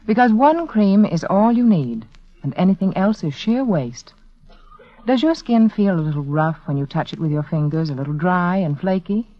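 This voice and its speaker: A woman's voice: fairly low pitch, lively intonation, moderate pace. A woman spokeswoman reading a persuasive, carefully enunciated 1940s radio commercial. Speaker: advertising spokeswoman, beauty authority. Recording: muffled vintage 1940s radio recording.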